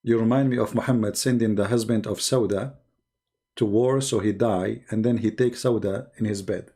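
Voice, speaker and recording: Man, gravelly voice, sarcastic, mocking livestream host. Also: fairly low pitch, steady tone, moderate pace, mellow. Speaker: channel host.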